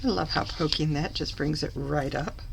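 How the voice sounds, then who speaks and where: A woman recovering from a cough, slightly hoarse, chatting through a papercraft tutorial as she works and correcting herself along the way. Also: deep voice, moderate pace, very noisy. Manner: chatty, self-correcting, talks while working. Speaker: papercraft demonstrator. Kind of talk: live craft tutorial.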